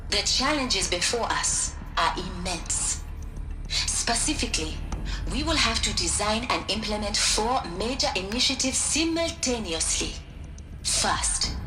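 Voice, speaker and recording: A woman voicing a dignified, earnest scholar in a video game, giving a measured, solemn formal speech. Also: mid-range voice, close to an everyday voice. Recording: echoing room, music underneath.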